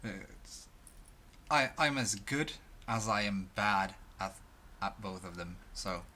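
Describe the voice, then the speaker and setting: A young Swedish man talking to his webcam in English, halting with many restarts and fillers. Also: fairly low pitch, expressive, bright, forceful tone, slight background noise. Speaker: vlogger. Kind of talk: casual talk to camera. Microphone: headphones, desk mic at webcam.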